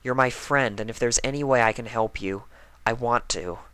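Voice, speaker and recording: A man voicing a thoughtful, studious female unicorn pony, sincere and measured, in a cartoon fan fiction reading. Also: mid-range voice, a bit bright, clean tone, no echo, lightly acted, low-quality recording. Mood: caring.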